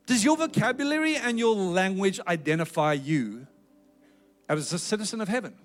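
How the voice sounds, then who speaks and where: A man's voice: high-pitched, lively intonation, moderate pace. A South African man preaching a sermon with a South African accent, in an earnest, emphatic exhorting manner. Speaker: pastor.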